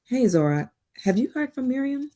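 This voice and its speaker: A woman playing a composed but worried therapist in a dramatic table read, measured and guarded. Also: high voice, very animated, mellow. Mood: concerned.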